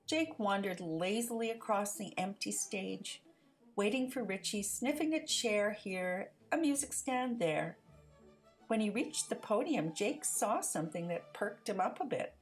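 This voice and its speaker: Woman narrator, children's read-aloud, very lively pitch swings, slow pace, theatrical delivery. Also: faint music behind, poor audio. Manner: expressive, theatrical storytelling. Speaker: storybook narrator.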